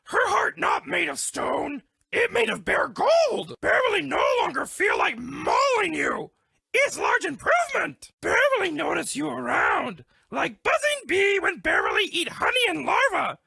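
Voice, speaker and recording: A woman's voice: very high-pitched, very animated, a little slow, gravelly, sharp tone, no room sound, exaggerated voice. A woman voicing a sweet, dramatic, lovestruck female bear in a cartoon dating game, speaking broken English in a gushing way. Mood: shy, fond.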